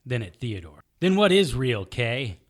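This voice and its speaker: A man's voice: medium pitch, sing-song, a little slow. An actor playing a wry, immortal male hero in an audio drama, both speaking in scenes and narrating in the first person.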